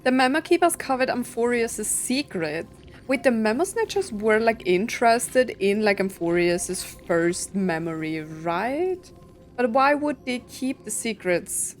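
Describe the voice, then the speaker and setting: A woman's voice: a little high, animated, unhurried. A woman giving informal live gameplay commentary, thinking aloud and questioning as she goes. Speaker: gaming video creator reacting to a story quest.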